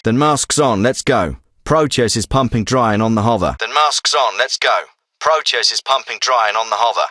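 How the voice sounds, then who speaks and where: An actor playing a hard-nosed, authoritative leader of a covert military team in a video game, speaking tersely. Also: male voice, mid-range voice, pushed and bright, dry recording, studio quality. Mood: urgent, commanding. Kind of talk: scripted video game dialogue. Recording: some clips processed as radio.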